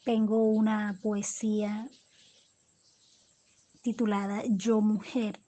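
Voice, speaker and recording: A woman from Colombia speaks slowly and thoughtfully as an interviewed poet, her voice fairly high yet mellow and soft. She stops and restarts often, repeating small words while she searches for the next thought, and her pitch stays flat. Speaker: poet and storyteller, interview guest. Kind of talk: reflective interview answers.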